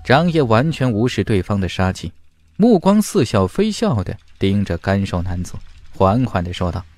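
Animated male audiobook narrator. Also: fairly low pitch, normal speed, no echo. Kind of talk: dramatic scripted fantasy narration. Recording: dry studio voice over music.

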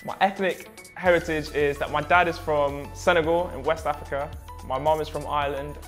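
A man's voice: a little high, fairly even intonation, normal speed, a bit bright. Young male graduate, London accent, casual and colloquial, music underneath. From West London, England. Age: early 20s. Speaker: recent graduate. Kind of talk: casual interview to camera. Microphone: lapel mic. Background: background music.

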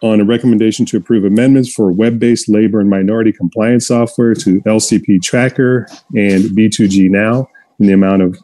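Low, mellow man reading a report. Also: brisk, dry recording. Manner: steady, formal reading. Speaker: commissioner. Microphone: headset earphones on a video call.

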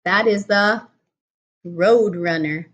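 A woman's voice: medium pitch, slow, a bit bright. Woman reading a picture book aloud to a child. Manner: patient, explaining in simple words to a young child. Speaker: speech therapist reading to a child. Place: home kitchen, indoors. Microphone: laptop or webcam at table distance.

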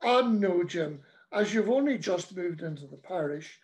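A man's voice: high-pitched, expressive, measured pace, a little mellow. A man voicing a cheery, pushy, middle-aged parish priest who chats on breezily, heedless of the people around him. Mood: breezy. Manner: chatty, breezy, oblivious.

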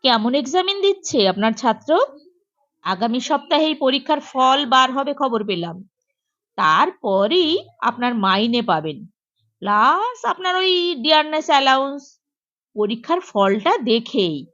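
A matter-of-fact father acted by a woman in a comic storybook, inquiring in a businesslike way. The voice is highish and unhurried, on a slightly dull recording. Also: sing-song, fairly bright tone, exaggerated voice. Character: pupil's expectant father, businesslike man. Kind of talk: humorous storybook reading.

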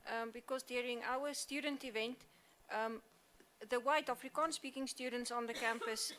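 A woman's voice: on the high side, steady tone, normal speed, a bit bright. A woman giving context at a university debate, hesitant with frequent fillers.

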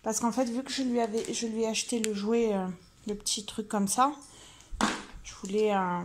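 A woman talks casually to the camera. She is chatty and informal, thinking aloud as she goes. The recording has clear noise behind her. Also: on the high side, fairly even intonation, moderate pace. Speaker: vlogger. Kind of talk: casual vlog chat, showing purchases. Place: car interior, later a room at home.

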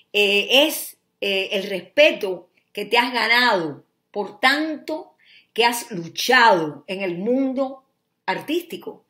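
A woman's voice: mid-range voice, fairly bright tone. Effusive woman hosting an interview. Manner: effusive, many "eh" fillers. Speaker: talk show host. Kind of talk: friendly interview.

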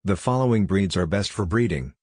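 A man narrating a scripted farming guide in a steady, even reading voice. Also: low voice, fairly quick, breathy edge, dry recording, clean studio sound.

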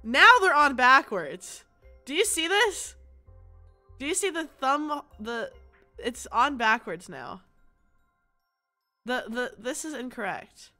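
A young woman streaming casually, with a stuffy nose from allergies, loud and prone to sudden screaming. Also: high voice, expressive, slow, pauses often, faint music behind. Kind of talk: casual livestream chat.

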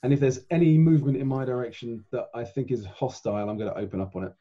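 A man's voice: medium pitch, lively intonation, quick pace, mellow. A man chatting as a player in a tabletop roleplaying game over a muffled online call. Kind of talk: casual game chat.

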